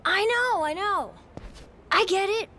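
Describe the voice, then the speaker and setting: Plucky little pirate kid, super high bright voice, eager, game cutscene. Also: female voice, slow, slight background noise, full character voice. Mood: grudging. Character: plucky young child aboard a pirate ship, eager. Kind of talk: video game cutscene acting.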